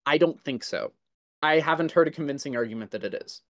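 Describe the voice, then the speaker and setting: A man in a casual podcast discussion, talking in a halting way as he works out his thoughts. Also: on the high side, a bit bright, no echo.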